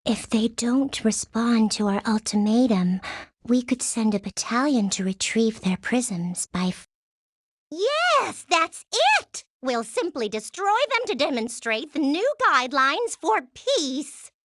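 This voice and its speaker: A high-voiced, prim alien gem officer for a cartoon game. She speaks slowly and formally, swooping theatrically through her lines with fussy, militant eagerness. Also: big pitch swings, dry recording, studio quality, full character voice. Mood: zealous. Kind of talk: animated game cut-scene acting.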